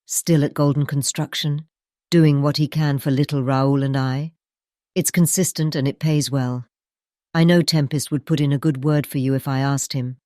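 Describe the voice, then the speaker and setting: A woman's voice: sits low, fairly even intonation, normal speed, warm tone, no room sound, clean studio sound, close to an everyday voice. A woman playing a stern but kindly elderly grandmother in an audio drama, firm and chatty in a matronly way. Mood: friendly, chatty. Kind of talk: audio drama character acting.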